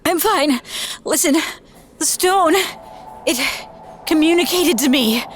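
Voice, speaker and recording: A woman's voice: high voice, slow, background noise. A woman playing an anxious but determined fugitive, speaking urgently and earnestly in an audio drama. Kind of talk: naturalistic audio drama acting.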